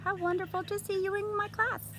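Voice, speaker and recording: A woman's voice: flat delivery, a little fast, bright, forceful tone. A woman voicing a cheery, grandly enthusiastic little bird teacher in a theatrical read-aloud of a children's storybook. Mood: cheerful, reassuring. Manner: theatrical, exclaiming, chirpy. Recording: noisy room with background rumble.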